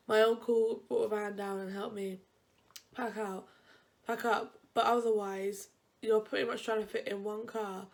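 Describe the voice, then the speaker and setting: Young woman student vlogger, stuffy nose, loose chatty delivery that wanders and restarts mid-sentence. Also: on the high side. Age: young adult. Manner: rambling, chatty, frequent self-corrections. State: blocked-up nose. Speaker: university vlogger. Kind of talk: casual advice vlog.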